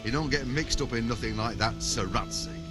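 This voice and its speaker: An actor playing a simple, deferential commoner in a medieval video game, folksy in speech. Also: male voice, medium pitch, normal speed, music in the background, natural voice. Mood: respectful. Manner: folksy, ungrammatical.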